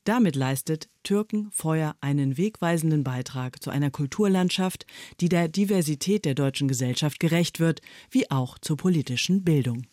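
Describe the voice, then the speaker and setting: Guy reading a formal award statement, slightly high voice, dry studio sound. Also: normal speed, few pauses, darkish tone, no echo. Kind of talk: read-out award citation.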